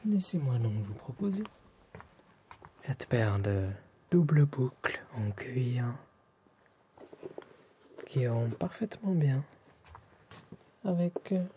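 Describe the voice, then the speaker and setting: A Frenchman speaks in a hushed, breathy whisper, very unhurried and mellow. He plays an attentive tailor in an ASMR roleplay, with courteous, formal wording. Faint noise sits behind his voice. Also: mid-range voice, lively intonation. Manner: polite, formal, attentive shopkeeper address.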